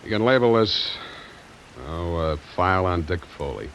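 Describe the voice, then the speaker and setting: An actor playing a weary, hard-boiled American detective in 1940s radio style, terse and wisecracking, on a hissy old recording. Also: male voice, low-pitched, expressive, normal speed, fairly bright tone, poor audio. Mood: gruff, world-weary.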